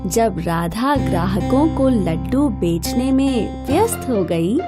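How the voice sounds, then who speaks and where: A woman narrating a scripted children's story in an expressive storytelling style. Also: mid-range voice, slow pace. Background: background music.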